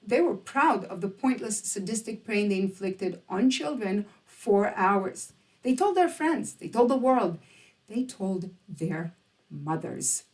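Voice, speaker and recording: A woman's voice: mid-range voice, distant mic, low-quality recording. A woman delivering scripted opinion commentary in an emphatic, sardonic, rhetorical manner. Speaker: presenter, commentator.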